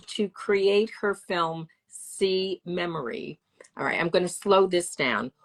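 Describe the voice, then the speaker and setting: A woman hosting a podcast interview, introducing her guest in a measured way and stacking words into lists. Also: mid-range voice, low-quality recording. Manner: measured, repeats words while listing. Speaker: host.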